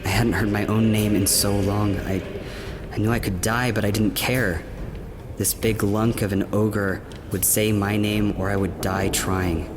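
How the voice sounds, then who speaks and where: A man's voice: fairly low pitch, flat delivery, measured pace, music in the background, lightly acted. A man playing a haunted adventurer in a fantasy radio play, recounting memories in a subdued, reflective way. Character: haunted young male adventurer recalling enslavement.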